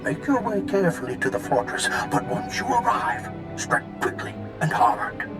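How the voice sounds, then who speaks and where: High, swooping old Jedi master. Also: male voice, sing-song, over loud music. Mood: brisk, commanding. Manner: dramatic pauses, drawn-out mentor phrasing. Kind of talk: video game voice acting.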